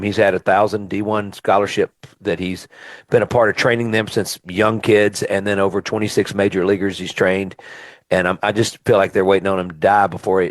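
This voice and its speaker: A man's voice: on the low side, no room sound. A middle-aged man from Mississippi, hosting a casual radio interview and chatting eagerly with plenty of "uh" fillers. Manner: enthusiastic, chatty, frequent "uh" fillers. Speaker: radio show host and singer-songwriter.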